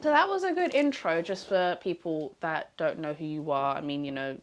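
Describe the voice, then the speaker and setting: Curious woman interviewer with a lowish voice. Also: normal speed. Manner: friendly, curious, with steady short agreements. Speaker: interview host. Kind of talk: casual interview questions.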